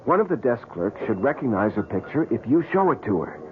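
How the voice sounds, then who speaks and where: An actor playing a headstrong, ambitious young photographer in an old-time radio drama, urgent and argumentative. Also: male voice, mid-range voice, sing-song, brisk, close to an everyday voice. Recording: tinny, band-limited, hissy radio recording.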